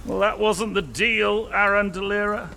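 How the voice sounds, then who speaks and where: An actor playing a theatrical, smug villainous lord in a video game, mock-polite and pompous in a drawling delivery. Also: male voice, high-pitched, steady tone, a little slow, gravelly, bright, forceful tone, a little hiss or hum, exaggerated voice. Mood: gloating, menacing. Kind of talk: video game cutscene acting.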